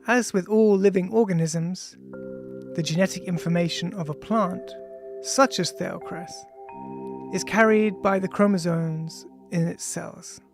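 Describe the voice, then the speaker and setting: Audiobook author, androgynous mid-pitched voice, measured science reading, clear music bed underneath. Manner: measured, reads punctuation aloud. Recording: music behind the voice. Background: ambient music with bell-like tones.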